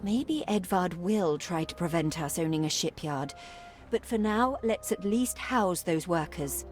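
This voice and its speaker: An actress playing a determined, loyal young gentlewoman who gives advice in a video game, earnest and composed. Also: fairly low pitch, expressive, unhurried, soft background music. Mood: practical, determined. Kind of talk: video game character acting.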